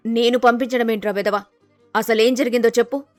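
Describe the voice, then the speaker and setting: A woman voicing a domineering, suspicious middle-aged mother-in-law who speaks in a sharp, scolding, accusing way in a dramatized story. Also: a little high, steady tone, fairly quick, no echo, faint music behind, lightly acted. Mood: angry, scolding.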